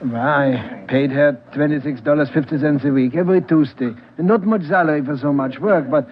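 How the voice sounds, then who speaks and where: A fairly high male voice, an actor playing a restaurant boss, earnest and saddened. He speaks haltingly and with emphasis, repeating himself, in an old radio drama with thin, phone-like sound. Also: a little hiss or hum, natural voice. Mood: earnest, emphatic. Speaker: murdered waitress's employer. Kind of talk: 1940s radio drama acting.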